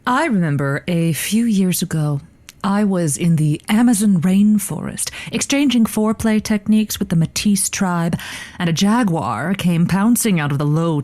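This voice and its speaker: A woman acting as a glamorous radio agony aunt in a comedy podcast. She speaks slowly and grandly in a very dry, close recording, savoring her own worldly importance. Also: fairly low pitch, a little mellow, no room sound, natural voice. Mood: boastful, breezy. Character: glamorous, theatrical radio love-advice host, grandly boastful woman. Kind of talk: scripted audio comedy acting.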